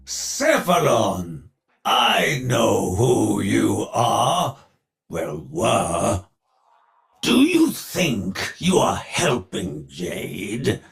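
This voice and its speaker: Grandiose game villain, older male magnate: gravelly and creaky, theatrical, lively melodic swings, commanding and ruthless. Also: on the low side, exaggerated voice. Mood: sly, goading. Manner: pompous, declamatory, slow deliberate phrasing. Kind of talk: theatrical scripted video game dialogue.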